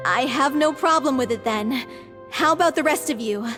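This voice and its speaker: An actress playing a polite, earnest young stage performer in a video game, with long reverb on the voice. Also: female voice, high voice. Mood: surprised, then grateful.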